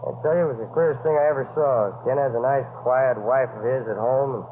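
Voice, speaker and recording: Casting: male actor as ordinary American working-man witness, mid-pitched, halting and folksy, old radio crime drama. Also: natural voice. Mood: hesitant, gossiping. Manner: folksy, halting, clipped phrases. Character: plain-spoken working man, shop owner, hesitant witness. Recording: dull, noisy old radio recording.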